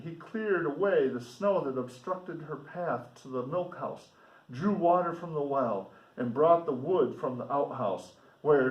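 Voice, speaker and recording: A man reading a novel aloud as a teacher, in a deliberate, explanatory manner with casual asides. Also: medium pitch, muffled recording. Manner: deliberate, explanatory, pausing to comment for students. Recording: roomy echo, distant microphone.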